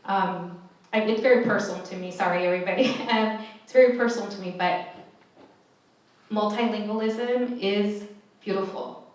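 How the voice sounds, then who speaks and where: A woman's voice: mid-range voice, moderate pace, pauses often. A woman whose first language is Spanish, speaking earnestly on a panel with many restarts. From California, USA. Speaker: panelist, math educator. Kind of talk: panel discussion. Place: large echoey event hall. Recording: echoey hall, mic far off.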